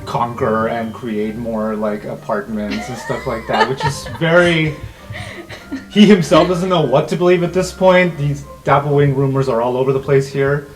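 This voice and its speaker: Game master improvising a tabletop story, mid-pitched voice, faint music underneath. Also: normal speed, rough recording. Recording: music behind the voice. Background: background music.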